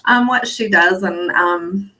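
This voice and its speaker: Host: woman, bright and crisp tone, eager, casual. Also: mid-range voice, slow pace, low-quality recording. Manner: enthusiastic, filler-heavy introductions. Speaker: live show host. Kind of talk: casual live-stream hosting.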